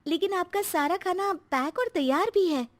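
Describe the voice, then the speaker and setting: A woman's voice: extremely high pitch, normal speed, pitched above the performer's own voice. A woman voicing a timid, overworked, self-blaming housewife and mother in a cartoon story. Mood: defensive, upset.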